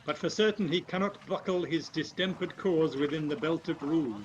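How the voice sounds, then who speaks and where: A man's voice: a little high, normal speed, natural voice. An amateur actor playing a resolute nobleman in a Shakespearean radio play, with declamatory delivery. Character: resolute Scottish noble marching against a tyrant. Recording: muffled, noisy video-call audio.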